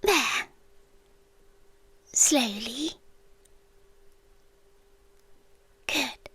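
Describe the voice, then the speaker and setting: A British woman playing an ancient, calm, doting apocalyptic girlfriend in a soft, partly whispered ASMR roleplay, speaking slowly and deliberately. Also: sits high, breathy edge, full character voice. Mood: fond, musing. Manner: slow, hushed, deliberate delivery.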